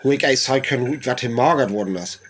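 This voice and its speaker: A man's voice: medium pitch, quick pace, fairly bright tone, a little hiss or hum, natural voice. A man acting a professor in a radio play, cautiously explaining and speculating in an interview, with a North Frisian accent. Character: male professor cautiously explaining alien invaders' weapons.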